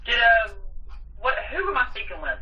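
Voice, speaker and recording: A woman's voice: fairly high pitch, big pitch swings, normal speed, distant mic. A woman answering an office phone as a clerk, a little hesitant, heard over a lo-fi line. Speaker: district attorney office clerk. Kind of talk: phone call answering a query. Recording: muffled lo-fi telephone line.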